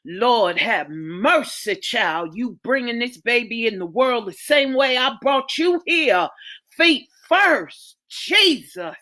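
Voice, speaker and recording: A bossy, folksy mother of five barks orders and scolds her girls, as a woman puts her on. Her voice is bright and her words come out slow and weighty. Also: on the high side, lively intonation, exaggerated voice. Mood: urgent. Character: stern churchgoing mother of five daughters.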